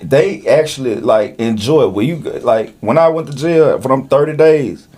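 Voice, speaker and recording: A middle-aged American man with a medium-pitched voice. He speaks as a podcast host in loose slang, restarting and rewording to press his point. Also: animated, moderate pace, a little mellow. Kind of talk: casual, slangy podcast conversation.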